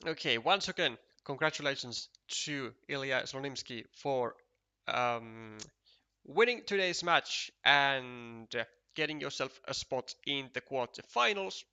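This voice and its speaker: A man with a Finnish accent giving casual, unhurried commentary on a board game match for a live stream, chatty and full of fillers. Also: mid-range voice, animated, bright, forceful tone, smooth.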